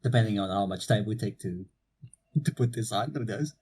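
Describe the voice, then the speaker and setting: A young man from Portugal, a university student, co-hosting a casual podcast chat, relaxed and swearing freely on a cheap mic. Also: on the low side, brisk, slightly rough. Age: young adult. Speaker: podcast co-host. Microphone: cheap low-quality mic.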